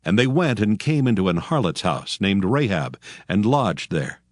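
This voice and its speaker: A man giving scripted scripture narration in a measured, formal way. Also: fairly low pitch, big pitch swings, no echo, clean studio sound.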